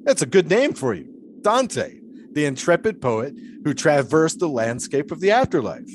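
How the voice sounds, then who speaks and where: An actor playing an eccentric, philosophical 1920s daredevil in an audio drama, serenely cryptic and teasing, with ornate phrasing. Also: male voice, fairly high pitch, sing-song, normal speed, slight background noise. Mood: musing, cryptic.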